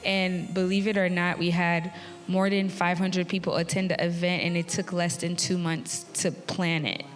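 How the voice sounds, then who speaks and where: Haiti-raised woman panelist, lower voice, earnest, reverberant theatre hall. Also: flat delivery, normal speed, soft background music. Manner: earnest, friendly, with fillers and laughs. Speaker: tech community founder, panelist. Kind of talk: live panel talk. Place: theatre stage.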